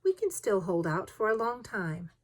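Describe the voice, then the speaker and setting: A calm and deferential manservant in an adventure storybook, read by a woman. He speaks formally and politely, with an unruffled, philosophical temper. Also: medium pitch, normal speed.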